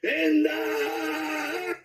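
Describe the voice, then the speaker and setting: A man voicing a brash, exuberant game character with a thick Scottish accent, belting and shouting. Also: very high voice, little pitch movement, drawn-out words, voice pushed up, heavily acted. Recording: roomy, distant mic.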